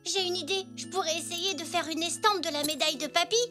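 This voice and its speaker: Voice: very high voice, steady tone, quick pace, over music. A performer voicing a cheerful, curious little boy zebra in a French children's cartoon. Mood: excited. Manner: bubbly, inquisitive.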